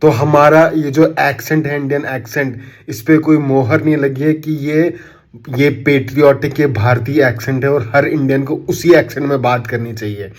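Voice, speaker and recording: A man with an Indian accent ranting to camera in an opinion vlog, indignant and sarcastic, mixing Hindi with English. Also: a little high. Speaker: commentator.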